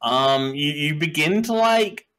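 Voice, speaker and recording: A man's voice: fairly high pitch, expressive, slow, fairly bright tone. A man in his twenties, an esports coach, answering interview questions casually with many fillers and hesitations. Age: 20s.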